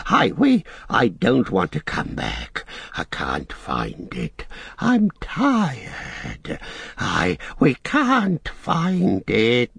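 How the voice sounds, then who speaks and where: A man's voice: on the high side, gravelly, breathy edge, no echo, pitched above the performer's own voice, heavily acted. A man voicing a wretched, cunning, self-pitying creature with a strained whine, repeating phrases and calling itself we, in an audiobook. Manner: hissing repetitions, speaks of himself as we.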